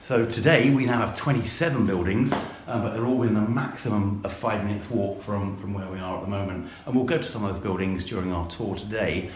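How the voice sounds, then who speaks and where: A man's voice: fairly low pitch, brisk, few pauses, a little hiss or hum. Man guiding a school tour. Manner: relaxed, with fillers and repeated phrases. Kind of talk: guided walking tour. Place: school buildings, indoors, walking. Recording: roomy, mic at a distance.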